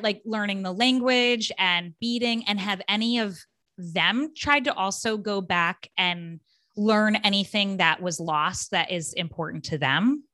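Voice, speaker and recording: A woman's voice: medium pitch, bright, forceful tone, dry recording. A woman hosting a podcast interview, chatty and casual with lots of filler words. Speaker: podcast host.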